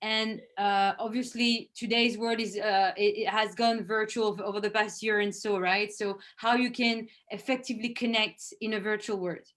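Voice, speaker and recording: A woman's voice: mid-range voice, fairly even intonation, moderate pace, few pauses, a bit bright. A woman, a head of sales, giving an earnest presentation over a video call. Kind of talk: virtual presentation. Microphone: video call microphone.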